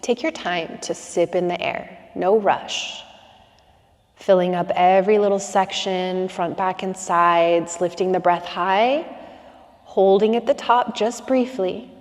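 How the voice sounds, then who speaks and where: A woman guiding a slow breathing meditation in calm, soothing instructions with long pauses. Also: medium pitch, long reverb tail.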